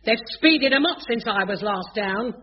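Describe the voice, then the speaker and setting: An actress playing a haughty, censorious middle-aged spinster sister in a radio sitcom. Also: female voice, on the high side, lively intonation, normal speed, slight background noise, close to an everyday voice. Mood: sniffy, disapproving. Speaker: sitcom character. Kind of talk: 1980s studio radio sitcom acting. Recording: dull old radio recording with studio audience laughter.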